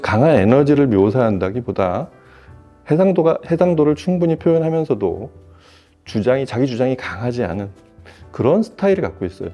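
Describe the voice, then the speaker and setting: A man giving a semi-formal, explanatory product review in a measured way, rephrasing as he goes. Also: mid-range voice, mellow, lo-fi sound. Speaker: audio equipment reviewer.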